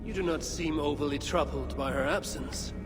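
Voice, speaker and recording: An actor playing a stoic, blunt Norse warrior envoy in a video game cutscene, speaking in a measured, terse, coolly defiant way. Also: male voice, on the high side, close to an everyday voice. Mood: terse, resolute. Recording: loud dramatic music underneath. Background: game score music.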